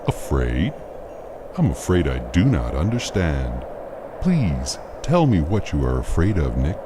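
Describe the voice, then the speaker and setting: A man acting in an audio play as a calm, patient questioner who speaks formally, like a therapist. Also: very deep voice, measured pace, noisy background, heavily acted. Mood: calm, probing.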